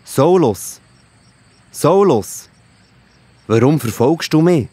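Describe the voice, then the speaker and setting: Ein Mann spricht eine feierliche, ferne Himmelsstimme. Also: male voice, fairly high pitch, animated, warm tone, husky, no room sound, full character voice. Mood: solemn. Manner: slow, solemn calling. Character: divine voice of Jesus, calm, solemn man. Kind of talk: children's audio drama.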